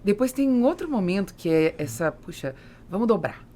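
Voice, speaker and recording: A woman's voice: mid-range voice, sing-song, moderate pace, dark tone. A woman with a Brazilian accent hosting a conversational business interview, often chiming in with short agreement. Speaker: podcast host interviewing guests.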